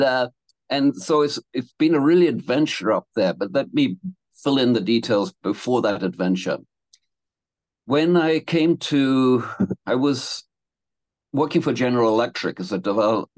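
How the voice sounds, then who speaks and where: A man's voice: on the low side, a little mellow, no echo, low-quality recording. A man in his seventies from England, telling his life story as a guest in a casual interview, rambling with restarts and laughing between thoughts. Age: 70s.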